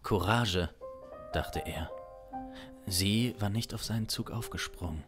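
A man in his thirties improvising a dark crime narration in a dramatic, intense manner, close on a studio mic. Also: fairly low pitch, slow. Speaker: improvising audio drama performer. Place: small home studio, indoors. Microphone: close studio condenser mic. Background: instrumental music.

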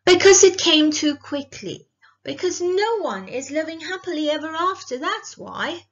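Grown woman doing a little kid, indignant, high pitched, protesting, impatient, audiobook character. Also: huge pitch swings, moderate pace, highs cut off, exaggerated voice. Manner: insistent, protesting.

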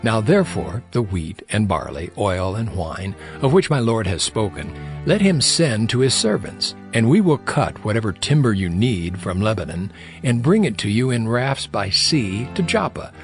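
A man's voice: fairly low pitch, very animated, normal speed, faint music behind. An actor playing a gracious, dignified, diplomatic king, proclaiming formally in a dramatized scripture reading.

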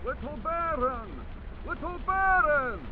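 A man's voice: very high-pitched, animated, takes it slowly, phone-quality sound, heavily acted. A man playing a wagon driver in an audio drama, speaking in old-fashioned thee-and-thou talk. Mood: calling. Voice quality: old-fashioned speech, pleading then mocking. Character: Russian wagon driver Ivan, stubborn working man. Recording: heavy rain noise behind.

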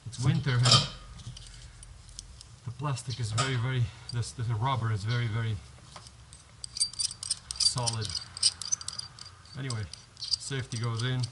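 A man's voice: mid-range voice, steady tone, a little slow, long pauses, very noisy. Rambling man with an action cam outdoors. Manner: rambling, restarts sentences, explains step by step. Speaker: vlogger. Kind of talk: casual how-to vlog. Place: outdoors on a home driveway by a garage. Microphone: head-mounted action camera.

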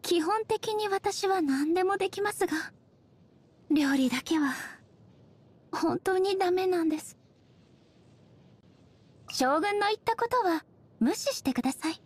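A woman voicing a dignified, ageless shogun goddess in a game, speaking softly in formal, measured, polite phrases. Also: very high voice, lively intonation. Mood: prim, firm. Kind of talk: scripted game character voice lines.

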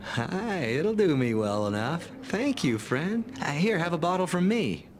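Swooping, tipsy fantasy drunkard. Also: male voice, fairly high pitch, very animated, measured pace, a little hiss or hum. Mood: tipsy, friendly. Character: tipsy, genial tavern drunkard in fantasy game. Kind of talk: fantasy video game dialogue.

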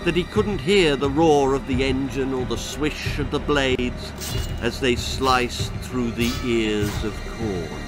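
A man in his sixties reading a children's story aloud in a theatrical, deliberate way, relishing gruesome words. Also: mid-range voice, over music, poor audio. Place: small indoor room lined with bookshelves.

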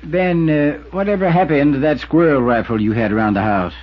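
An actor playing a mild, middle-aged, protective small-town constable, folksy and hesitant, in 1950s radio drama style. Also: male voice, fairly high pitch, fairly quick, natural voice. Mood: gently questioning. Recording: muffled 1950s radio recording.

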